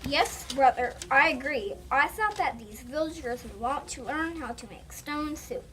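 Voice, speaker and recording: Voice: high voice, lively intonation, a little slow, sharp tone. A child reading a scripted character part aloud in reader's theater. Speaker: student reader. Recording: faint room noise.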